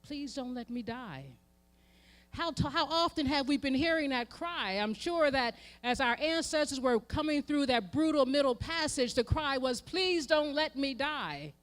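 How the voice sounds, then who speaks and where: A woman with an American accent delivers an impassioned sermon, using emphatic repetition and swelling from hushed to forceful. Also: high-pitched, a little slow. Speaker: reverend speaking at a memorial event. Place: live event before an audience.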